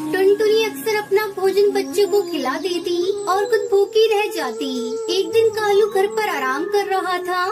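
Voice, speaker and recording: A woman reads a scripted children's story as narrator. Her pitch is extremely high. Prominent music plays throughout. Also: measured pace, hardly any pauses.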